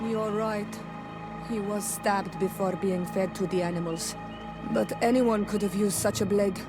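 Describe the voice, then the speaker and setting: A woman's voice: mid-range voice, fairly even intonation, unhurried, close to an everyday voice. Woman playing a proud, stern warrior. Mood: matter-of-fact. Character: proud, blunt female native islander warrior. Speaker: game character voice actor. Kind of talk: dramatic video game acting. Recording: background music behind the voice.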